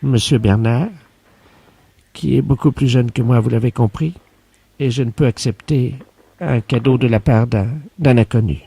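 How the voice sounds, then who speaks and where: A man voicing a prim, lovestruck older female secretary in a prank call, speaking formally and hesitantly in a raspy voice. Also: medium pitch, normal speed, warm tone, pitched above the performer's own voice. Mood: prim, reluctant. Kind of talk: prank phone call character acting.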